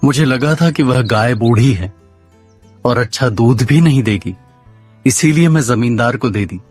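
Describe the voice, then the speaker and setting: A man's voice: medium pitch, unhurried, darkish tone, close to an everyday voice. Casting: male actor for work-shy, simple-minded cartoon villager husband, medium register, faint music underneath. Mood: regretful. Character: lazy, naive village husband who shirks work. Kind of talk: Hindi cartoon story dialogue.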